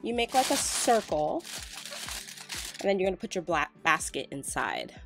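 A woman's voice: fairly low pitch, very animated, moderate pace, soft background music. A middle-aged woman with a cold and a congested voice, chatting through a casual step-by-step gift-basket tutorial at home. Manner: chatty, explains step by step with fillers. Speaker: couponing vlogger. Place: home, filming a tabletop close-up.

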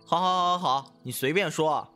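Audio drama sidekick-lover, male actor: brash, devoted, boyish and pleading, higher-pitched, lively, over music. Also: normal speed. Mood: wistful. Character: brash, devoted young male time-traveller, easily flustered. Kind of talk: Chinese costume audio drama acting.

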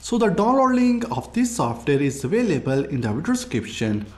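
A man's voice: a little high, very animated, fairly quick, a little mellow. A man hosting a tech review, reading scripted tutorial narration.

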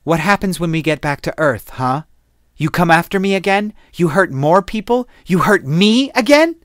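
A cocky, violent man, voiced by an audiobook narrator, full of bluster. His lines push loud and high, and the sound is dry and close. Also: steady tone, brisk, no echo, close to an everyday voice. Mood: defiant. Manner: blustering, then pleading. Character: arrogant, violent man, desperate when cornered. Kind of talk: audiobook character voice.

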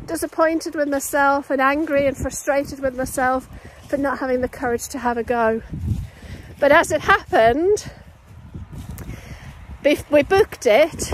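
A woman's voice: sits high, poor audio. A woman in her late fifties talking reflectively to camera, recorded outdoors on a phone held at arm's length. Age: late 50s. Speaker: vlogger. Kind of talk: personal reflective vlog to camera. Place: outdoors in open countryside on a walk. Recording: clear outdoor background noise.

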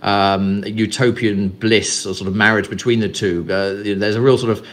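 A man's voice: lowish voice, fairly even intonation, normal speed. English man, company guest, loose rambling interview answers full of fillers. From England. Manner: rambling, many fillers and repeated words. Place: Greece.

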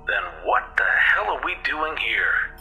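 Bright, sharp man as space pilot. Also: medium pitch, sing-song, measured pace, soft background music, heavily acted, low-quality recording. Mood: resigned. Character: blunt, casual male space transport pilot. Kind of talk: audio drama acting.

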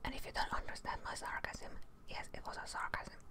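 A woman's voice: a little fast, pushed and bright. Whispering young woman, ASMR gamer. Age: young adult. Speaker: gaming ASMR host. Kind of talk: whispered ASMR gameplay commentary.

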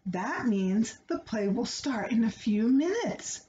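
A woman voicing a gentle, practical storybook mother who speaks reassuringly. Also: a little high, animated, slow pace. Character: gentle, practical mother soothing her daughter. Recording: roomy, dull home recording with background noise.

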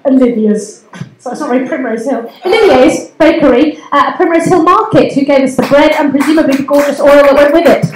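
A woman's voice: sits high, fairly quick, a little mellow. An English woman acting as MC of a comedy night, chatty and bubbly, teasing the crowd. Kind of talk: live emcee banter. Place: community centre hall, live audience. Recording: echoey hall with audible noise.

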